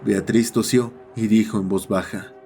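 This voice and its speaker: A man playing a schoolboy who narrates his own horror story in a measured, serious first-person style. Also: on the low side, fairly even intonation, dark tone, faint music behind, lightly acted. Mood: sombre.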